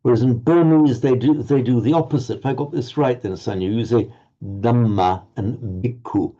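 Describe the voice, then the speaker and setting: A man teaching Sanskrit pronunciation in an online group lesson, halting and restarting words, encouraging his students. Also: mid-range voice, dark tone, muffled recording.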